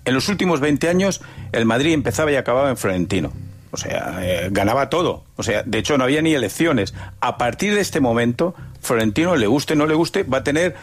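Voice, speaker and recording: A man's voice: mid-range voice, lively intonation, fairly quick. A man giving emphatic football analysis on a talk show, repeating phrases for rhetorical effect. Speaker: sports pundit on a panel.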